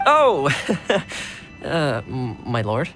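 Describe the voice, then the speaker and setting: Game role: loyal, respectful young manservant, highly animated pitch swings, gently exasperated, faint music. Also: male voice, extremely slow, full character voice. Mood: wry, flustered. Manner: deferential, expressive. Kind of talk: scripted game voice acting.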